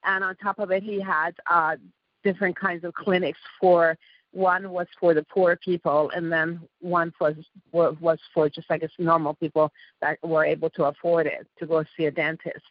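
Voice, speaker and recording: A woman's voice: on the low side, level tone, normal speed, no room sound. A woman from Iran with an Iranian accent, a guest telling her story in a rambling way, with a slight lisp from missing front teeth. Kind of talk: casual interview talk. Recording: dull lo-fi call audio.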